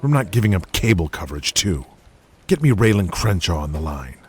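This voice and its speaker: A man's voice: low-pitched, sing-song, a little fast, breathy edge, heavily acted. A man voicing a proud, stubborn, sardonic wrestling boss in an audio drama, with a haughty, dry delivery. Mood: stubborn, angry.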